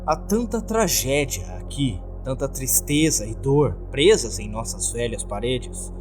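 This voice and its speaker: A Brazilian man voicing a gloomy, courteous hotel keeper in a formal, measured, scripted horror narration. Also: medium pitch, lively intonation, lightly acted. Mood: ominous, formal. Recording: music under the voice.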